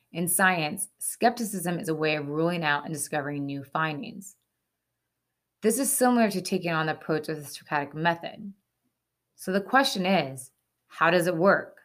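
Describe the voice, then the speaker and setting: A woman presenting a scripted explainer in an earnest, instructive way, with casual asides and rhetorical questions. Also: on the low side. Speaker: presenter of a short ethics segment.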